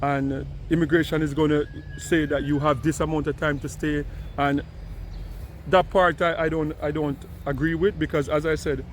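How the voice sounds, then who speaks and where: A man's voice: fairly high pitch, level tone, normal speed, slight background noise. A man from Jamaica, giving casual commentary and often repeating words as he starts sentences. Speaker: commentator responding to a video.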